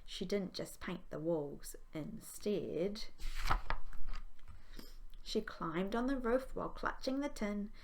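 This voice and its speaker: A woman's voice: mid-range voice, lively intonation, slow, pauses often, noisy background. A woman, a primary school teacher, reading a children's story aloud expressively.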